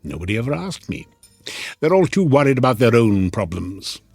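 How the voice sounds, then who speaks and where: An actor playing a sardonic, chatty devil in an audio drama, theatrical and mocking, with a husky voice. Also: male voice, mid-range voice, very animated, moderate pace, a little mellow, no echo, faint music behind. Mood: guarded, sardonic. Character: sardonic, wheedling male devil, casual and mocking.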